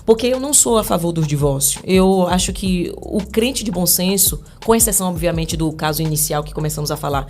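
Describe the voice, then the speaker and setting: A woman's voice: on the low side, brisk, few pauses, darkish tone, slight background noise. A woman in her thirties from Brazil, a guest on a podcast, talking earnestly about marriage and faith, with restarts and fillers. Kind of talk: podcast interview.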